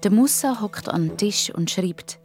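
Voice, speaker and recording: A woman with a Swiss German accent narrating a children's story in simple, deliberate phrases. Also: mid-range voice, lively intonation, a little mellow, soft background music.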